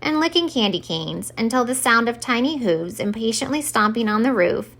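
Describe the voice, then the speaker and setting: A woman reading a children's storybook aloud as an expressive narrator for story time. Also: on the high side, moderate pace.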